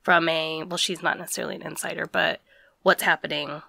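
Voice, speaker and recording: A woman podcast host recaps the news in a casual, conversational way. Her voice is bright and sits slightly low in pitch. The recording is dry and close, with no room sound. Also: little pitch movement, a little fast, gravelly, dry recording. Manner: conversational, summarizing news in plain terms.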